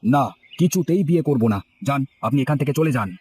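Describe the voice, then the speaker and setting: A man's voice: fairly high pitch, expressive, fast, a little mellow, a little hiss or hum, close to an everyday voice. Man playing an earnest young cartoon snack seller. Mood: indignant. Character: earnest young male street snack seller, devoted son. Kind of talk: children's cartoon voice acting.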